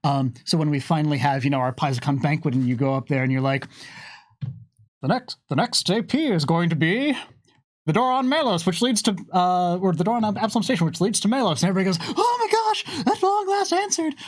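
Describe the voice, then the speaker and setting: A man hosting a livestream Q&A in an unscripted discussion, sometimes halting as he searches for words. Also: sits high, lively intonation, no echo. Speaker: host of a livestream Q&A.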